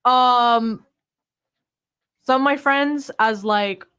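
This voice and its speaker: A woman co-hosting a podcast, chatting casually with many pauses and fillers. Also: a little high, a bit bright, clean tone. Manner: hesitant, full of fillers. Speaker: podcast co-host. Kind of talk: casual chat.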